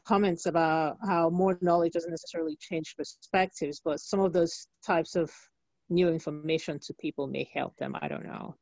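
Lower-voiced hesitant woman, muffled call. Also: mellow, dry recording. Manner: hesitant, slow with pauses. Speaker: researcher, panelist. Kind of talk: casual webinar answer. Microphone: video call. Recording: dull, lo-fi video call.

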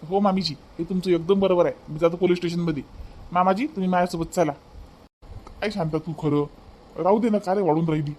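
Guy acting worried village family man, cartoon comedy, high voice, varhadi accent, repeats himself emphatically. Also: fairly even intonation, fast talker, darkish tone. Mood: resigned. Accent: Varhadi Marathi, Vidarbha. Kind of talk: comedy cartoon dialogue in rural dialect.

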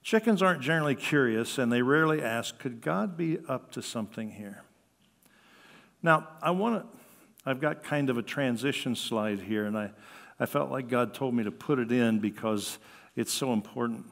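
An older American man preaching a conversational sermon, with an animated delivery that acts out quoted remarks. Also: medium pitch, moderate pace, vocal fry. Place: church sanctuary at a lectern, indoors. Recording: reverberant hall.

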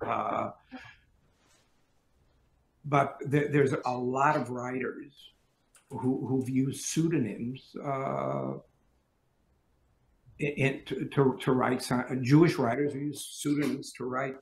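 An older man speaking on a video call panel from a room lined with bookshelves, halting, with many restarts. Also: mid-range voice, roomy sound, poor audio.

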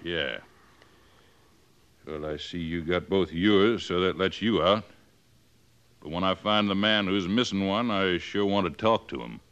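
An actor playing a weary middle-aged town sheriff who talks in a drawling, plainspoken way in a 1950s radio western. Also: male voice, low voice, a little slow, pauses often, a bit bright, muffled recording. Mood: plain. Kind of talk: 1950s radio drama acting.

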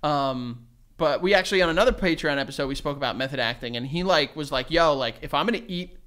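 A young man co-hosting a podcast in casual chat, joking and animated, with dry deadpan asides, on a desk mic in a small studio. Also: a little high, quick pace. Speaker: podcast co-host. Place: small studio room, indoors. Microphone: desk dynamic mic on stand.

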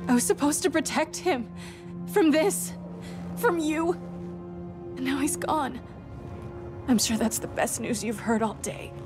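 A young game heroine with a high voice, earnest and emotional. Her delivery is impassioned and cinematic, with music behind it. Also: a little slow, pauses often. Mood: grieving, bitter. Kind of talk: cinematic video game acting.

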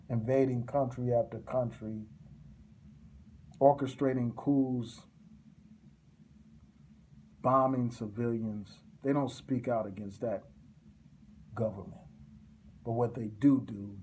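Slow, dark-voiced man preaching to camera. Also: medium pitch, slight background noise. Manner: forceful, repetitive, preaching cadence. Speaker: commentator. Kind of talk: impassioned political monologue to camera. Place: small indoor room.

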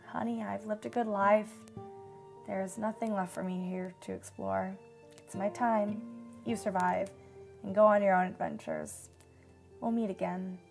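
A woman acts out a female survivor in a radio drama interview. She speaks in a measured, reflective way with a wistful temper, at a middling pitch. Faint music sits behind her. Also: vocal fry, a little mellow. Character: female asteroid-disaster survivor recalling shelter life, wistful.

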